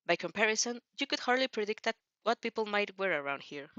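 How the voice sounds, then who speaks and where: A woman's voice: mid-range voice, animated, fast talker, sharp tone, no echo. A woman reading fiction aloud as narrator, often stumbling and repeating phrases. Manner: stumbles over words and rereads phrases. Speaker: amateur fan fiction reader. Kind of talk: storybook narration read aloud. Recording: dry, slightly dull lo-fi recording.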